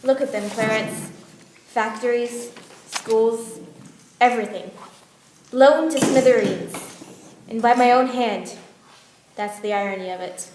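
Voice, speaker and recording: A woman's voice: fairly high pitch, measured pace, pauses often, background noise, lightly acted. A woman playing a proud, embattled male Yankee inventor in a radio play, earnest and dramatic. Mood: grim resolve. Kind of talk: stage-style radio play acting. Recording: echoey room, faint music behind.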